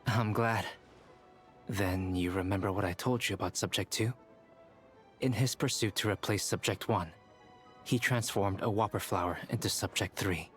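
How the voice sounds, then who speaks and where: A man voicing a composed, clinical young alchemist in a video game, measured and formal. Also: lowish voice, fairly bright tone, lightly acted. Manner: measured, matter-of-fact, formal. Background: game music.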